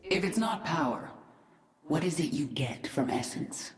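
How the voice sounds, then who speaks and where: An actress playing a cold, ruthless queen of an alien swarm in a video game, speaking with deliberate command. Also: deep voice, lively intonation. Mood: cold, questioning. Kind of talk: video game cutscene acting. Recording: echoey, under music.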